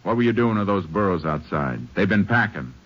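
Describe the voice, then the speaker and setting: An actor playing a steady, firm Texas Ranger in a radio western, terse and plain-spoken. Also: male voice, sits low, fairly quick. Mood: stern, pressing. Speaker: lead of the show, also narrating. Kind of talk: 1950s radio western acting and narration. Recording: muffled old radio recording, faint hiss.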